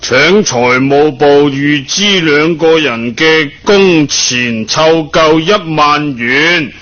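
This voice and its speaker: An actor playing a middle-aged company boss, deliberate and officious but soft-hearted, in a Cantonese radio comedy. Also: male voice, fairly high pitch. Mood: officious, grudging. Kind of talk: improvised radio comedy drama. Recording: dull lo-fi radio broadcast.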